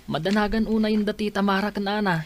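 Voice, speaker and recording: A woman's voice: medium pitch, fairly even intonation, quick pace, a little hiss or hum, natural voice. A woman playing an inquisitive, concerned acquaintance in an amateur audio drama, with an Ilocano accent. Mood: puzzled, disapproving.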